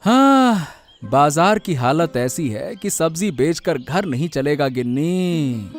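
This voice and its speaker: A struggling village vegetable seller acted by a man in a cartoon story. He sounds worried and complaining, in a fairly high voice. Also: expressive, takes it slowly, faint music behind.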